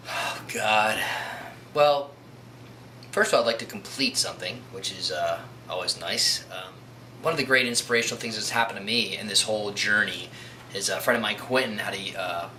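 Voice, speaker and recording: Very bright, sharp-voiced man being interviewed. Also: lowish voice, moderate pace, low-quality recording. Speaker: comic book writer. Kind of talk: documentary interview answers. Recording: faint background noise.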